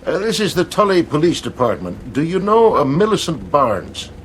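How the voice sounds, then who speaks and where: A man's voice: fairly high pitch, big pitch swings, normal speed, slight background noise. An actor in a radio drama playing a stern, by-the-book policeman with a curt and condescending manner. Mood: businesslike. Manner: firm, curt, patronizing.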